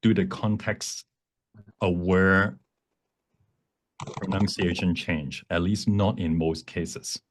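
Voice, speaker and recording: Male workshop presenter, low register, explanatory and halting while demonstrating software. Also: fairly even intonation, background noise. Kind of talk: live software demonstration talk. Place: online presentation at a computer.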